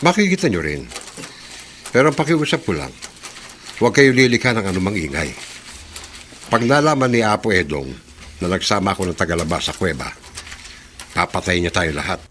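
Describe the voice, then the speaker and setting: Low-voiced, mysterious snake charmer. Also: male voice, big pitch swings, fairly quick, pauses often, fairly bright tone, slight background noise. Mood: guarded, warning. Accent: Filipino. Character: mysterious, cautious male snake charmer and guide. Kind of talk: Filipino horror radio drama acting.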